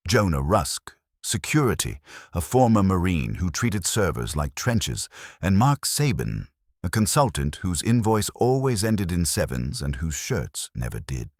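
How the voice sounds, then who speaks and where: A man narrates a first-person detective audiobook. His voice is extremely deep, with lively movement in pitch. The delivery is wry and deadpan, in a dry, close recording. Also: a little slow, dry recording.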